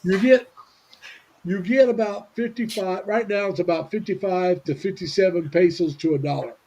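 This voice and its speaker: A man's voice: high voice, warm tone, a little hiss or hum, low-quality recording. A man in his seventies from Indiana, hosting a casual livestream chat and rambling with lots of restarts. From Indiana, USA. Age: 70s. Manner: rambling, halting with many restarts. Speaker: livestream host. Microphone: video call.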